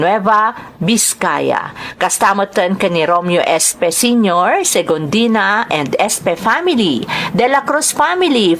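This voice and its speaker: A woman's voice: mid-range voice, fairly bright tone, slight background noise. Filipino Ilocano woman hosting, reading greetings. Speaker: host and narrator reading listener greetings and letters. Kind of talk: scripted radio-style narration.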